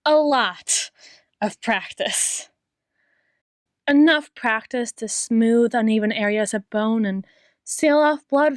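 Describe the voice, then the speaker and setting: Woman playing a high-voiced, obsessively devoted yandere doctor. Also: dry recording, studio quality. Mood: smug, intimate. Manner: slow, coaxing, theatrical, with lingering pauses. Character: obsessive, possessive yandere female doctor, sweetly menacing and devoted. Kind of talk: ASMR roleplay monologue.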